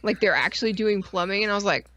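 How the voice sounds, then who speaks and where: A woman's voice: medium pitch, fast talker, fairly bright tone. A woman co-hosting a casual stream, joking crudely in a blunt, profane, irreverent way. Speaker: co-host of a weekly pop culture stream.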